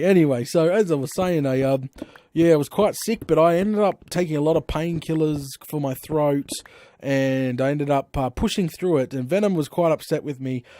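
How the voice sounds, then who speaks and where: Chatty Australian man, fairly high voice. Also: dry recording, clean studio sound. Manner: chatty, animated storytelling with frequent slang exclamations. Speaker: gaming live streamer. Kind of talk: rambling casual chat while playing.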